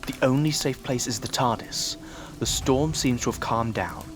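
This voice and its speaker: Man playing audio drama time traveller, analytical, brooding, formal phrasing, medium pitch, music and rain behind. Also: lightly acted. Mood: grave, urgent. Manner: measured, formal explaining.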